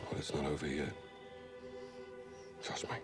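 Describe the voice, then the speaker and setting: A man playing a loyal, defiant field operative in a cinematic game scene, with a gravelly voice. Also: rumbling low, monotone, a little slow. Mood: quiet. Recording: loud music underneath.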